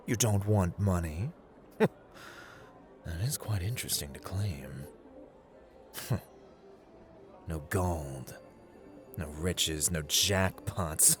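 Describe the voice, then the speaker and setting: A man playing a playful, haughty god of luck in an ASMR roleplay, theatrical and teasing, drawling his words as he addresses the listener. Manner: theatrical, teasing, drawling, swinging between hushed and booming.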